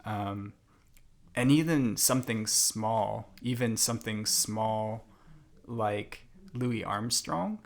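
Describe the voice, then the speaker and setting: Slow-talking young man, low voice. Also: creaky. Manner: thoughtful, unhurried, many fillers and restarts. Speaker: podcast guest. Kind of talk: casual interview conversation.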